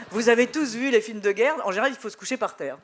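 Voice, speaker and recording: Animated woman storyteller from Belgium. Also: fairly high pitch, a little fast. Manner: animated, anecdotal storytelling with wry humor. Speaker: journalist guest at a book festival. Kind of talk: live panel conversation.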